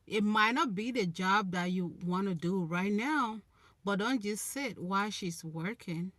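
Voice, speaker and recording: Liberian lady chatting slow on her relationship stream, restarts a lot, mid-pitched. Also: a bit bright, no room sound, clean studio sound. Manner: unhurried, restarts phrases, gives earnest advice. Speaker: relationship channel host. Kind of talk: casual live-stream chat answering viewers.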